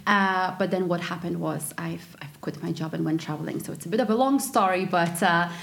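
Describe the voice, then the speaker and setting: A woman in her thirties, a native Polish speaker, talking as a podcast guest in a relaxed, reflective way, with many fillers and restarts. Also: on the low side, brisk, creaky, a little hiss or hum. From Poland. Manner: reflective storyteller, frequent fillers and restarts, laughs easily. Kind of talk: casual interview chat.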